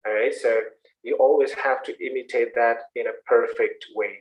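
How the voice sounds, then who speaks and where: A man's voice: medium pitch, fairly even intonation, normal speed, poor audio. Indonesian-accented male instructor, explains steadily and encourages, mid-range voice. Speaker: English instructor. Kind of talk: answering questions in an online class. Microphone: online video call.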